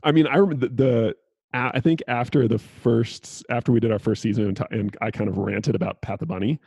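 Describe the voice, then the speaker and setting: Husky, creaky-voiced male podcast host. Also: medium pitch, fairly even intonation, fairly quick, a little mellow, dry recording, clean studio sound. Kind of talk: casual chat.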